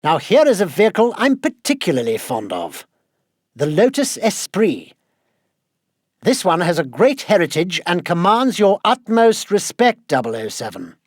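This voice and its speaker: A man's voice: sits high, huge pitch swings, normal speed, no room sound, clean studio sound, full character voice. A male actor playing a fussy, exasperated older British quartermaster in a video game, with a pompous, clipped, sardonic way of nagging. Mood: haughty briefing. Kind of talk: scripted video game mission briefing.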